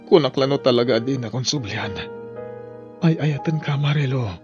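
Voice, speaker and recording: A man's voice: mid-range voice, a little fast, darkish tone, close to an everyday voice. Muffled, contrite Ilocano man over music, acted out. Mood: remorseful. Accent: Filipino, Ilocano. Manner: contrite, grateful. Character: remorseful sick man vowing to reform. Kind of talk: radio drama acting. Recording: muffled lo-fi audio, music underneath.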